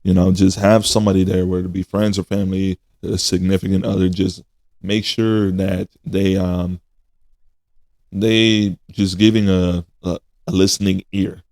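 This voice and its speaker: A man in his twenties hosting a casual podcast, talking in a slow, reflective way and addressing listeners as y'all. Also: deep voice, flat delivery, a little mellow, no echo. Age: 20s.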